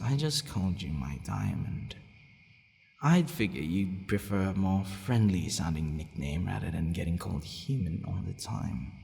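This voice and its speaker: A man's voice: low-pitched, gravelly, a little mellow, full character voice. A man playing a haughty, possessive vampire who is gradually growing fond of his captive, in an ASMR roleplay, with a teasing, commanding manner and hesitant asides. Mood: awkwardly friendly. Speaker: audio roleplay voice actor. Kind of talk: ASMR boyfriend roleplay acting. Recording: long reverberant hall echo.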